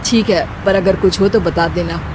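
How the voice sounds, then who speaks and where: A woman puts on a young husband in a cartoon tale, pitched lower and talking quickly. He is easygoing and caring, with a casual conversational manner, over clear background noise. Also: steady tone, close to an everyday voice. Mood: concerned. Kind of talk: acted Hindi cartoon moral story.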